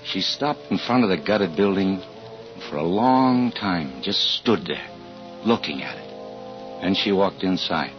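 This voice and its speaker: Deep-voiced suspicious husband, old radio thriller. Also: male voice, lively intonation, a little slow. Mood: grim, tense. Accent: American. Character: anxious, suspicious husband narrating a suspense tale. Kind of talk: 1940s radio acting, first-person suspense narration. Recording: muffled 1940s radio recording with music.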